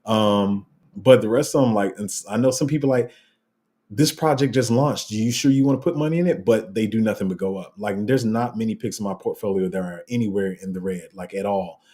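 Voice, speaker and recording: A man with a deep, rumbling voice hosting a casual livestream, reading out viewer comments and rambling along with sudden excited jumps in pitch and a slight cough. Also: a little fast. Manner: rambling and animated, voice jumping up when excited. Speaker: crypto livestream host.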